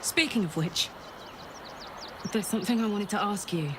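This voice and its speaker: A woman's voice: mid-range voice, moderate pace, background noise, lightly acted. A woman acting a brash but tender warrior who is grieving her own coming death in a video game, raw and heartfelt. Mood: tender, sad.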